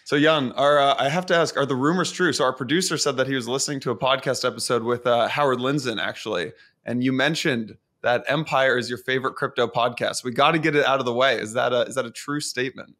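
A young man hosts a podcast from his home office, talking into a studio microphone on a boom arm. He sounds upbeat and chatty, moving quickly through his questions. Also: fairly bright tone. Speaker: podcast host. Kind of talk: casual interview hosting. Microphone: studio mic on boom arm.

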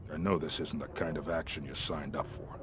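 Gruff American army colonel. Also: male voice, rumbling low, steady tone, a little fast, a crowd in the background. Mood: brisk, businesslike. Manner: gruff, blunt, wry, folksy. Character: gruff, impatient, wry male army colonel in command. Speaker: army colonel, commander giving mission briefings. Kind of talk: video game character dialogue.

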